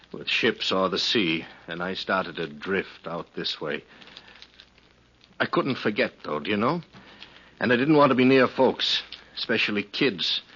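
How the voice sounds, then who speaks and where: An American actor playing a lonely, grieving former ship's skipper in a radio western, speaking in a folksy, halting way. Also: male voice, low voice, bright, forceful tone, husky, exaggerated voice. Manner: folksy, halting, plain-spoken. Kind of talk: 1950s radio western acting. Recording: dull vintage 1950s radio recording.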